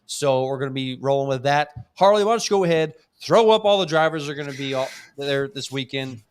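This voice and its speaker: A man's voice: medium pitch, lively intonation, brisk, smooth. A man co-hosting a casual monster truck talk show, blunt and laid-back, chuckling as he talks. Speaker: podcast co-host. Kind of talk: casual chat.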